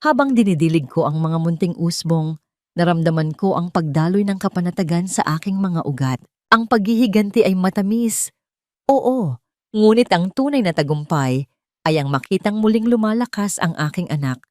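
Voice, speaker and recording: A woman narrates a scripted first-person drama in a slightly low voice. Her delivery is solemn and sentimental, in the manner of a storyteller. The sound is close and dry, with no room echo. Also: lively intonation, normal speed, dark tone.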